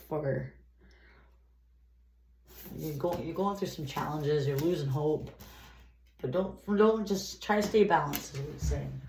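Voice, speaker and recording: A woman's voice: lowish voice, a little mellow. A woman with a stuffy nose from a cold, giving a chatty, rambling tarot reading and reading card messages aloud. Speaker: tarot reader. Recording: echoey room with clear noise.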